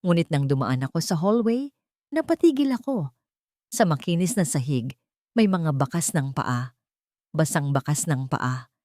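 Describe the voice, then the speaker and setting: A woman's voice: deep voice, lively intonation, normal speed, pauses often, warm tone, dry recording, studio quality. A woman narrating a scripted first-person story in a dramatic, suspenseful and emotive way.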